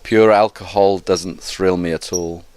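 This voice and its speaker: Low-voiced man hosting an English lesson. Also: fairly even intonation, measured pace, darkish tone, slight background noise. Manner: prompting with questions and clues. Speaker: English teacher, host. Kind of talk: casual lesson chat.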